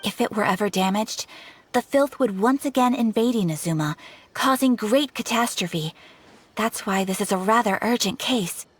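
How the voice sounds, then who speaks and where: A woman's voice: mid-range voice, expressive, normal speed, breathy edge. A woman playing a polite, earnest quest giver in a video game, formal, courteous and articulate. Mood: earnest, concerned.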